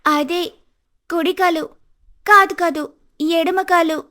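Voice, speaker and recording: A woman plays a high-pitched young daughter-in-law in a village moral story. She is anxious and obedient, speaking in a worried, pleading way. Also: fairly even intonation, takes it slowly, bright, forceful tone, heavily acted. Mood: flustered. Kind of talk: Telugu moral-story character acting.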